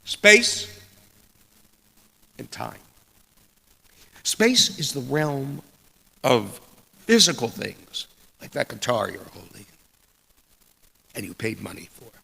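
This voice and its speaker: A middle-aged man delivering a sermon in a deliberate, measured way with dramatic pauses and a gravelly, husky voice. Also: medium pitch, pushed and bright. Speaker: preacher reading a sermon. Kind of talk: sermon with read quotations. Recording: long echoing hall reverb.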